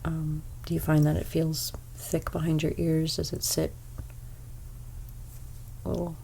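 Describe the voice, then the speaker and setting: A young woman with a stuffy nose giving an ASMR haircut role play as a hairstylist. She speaks softly, often slips into whispers, and makes gentle salon small talk. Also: deep voice, little pitch movement, measured pace, creaky, a little hiss or hum, rough recording. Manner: soft-spoken, drifting into whispers.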